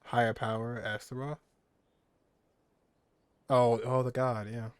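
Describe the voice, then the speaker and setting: A man streaming a video game, reacting with excitement and reading lines aloud again to take them in. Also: mid-range voice, takes it slowly, clear, resonant tone, dry recording. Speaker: gaming streamer. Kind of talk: casual gameplay commentary. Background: game music.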